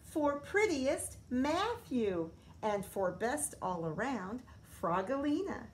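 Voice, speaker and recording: A woman's voice: sits high, sing-song, slow pace, a little hiss or hum, exaggerated voice. A woman voicing an exasperated lady schoolteacher from a children's storybook, calling out and announcing.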